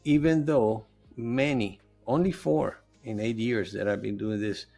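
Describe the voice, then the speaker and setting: A man's voice: mid-range voice, fairly even intonation, a little slow, vocal fry, warm tone, soft background music. A middle-aged man whose first language is Spanish, talking earnestly and loosely as a guest in a podcast interview. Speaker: podcast guest, nutritionist and health coach. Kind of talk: conversational interview.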